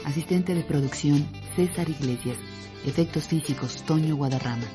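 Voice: medium pitch, normal speed. An announcer reading scripted radio credits in a list. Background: music with accordion and guitar.

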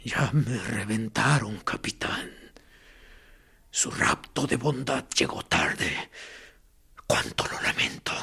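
An actor playing a tortured male prisoner in a radio drama, speaking in a hoarse whisper with morbid sarcasm. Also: lowish voice, animated, slow pace, pauses often, sharp tone, full character voice. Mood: mocking.

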